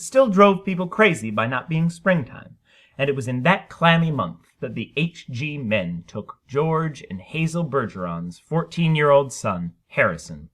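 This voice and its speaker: A man's voice: a little high, fairly bright tone. A man reading a short story aloud as a dramatic narration. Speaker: story reader.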